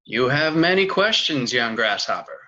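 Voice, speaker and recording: An actor playing a wise, solemn kung fu master with measured delivery in a sitcom table read. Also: male voice, mid-range voice, big pitch swings, bright, forceful tone, highs cut off. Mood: solemn, mysterious. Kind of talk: sitcom table read over video call.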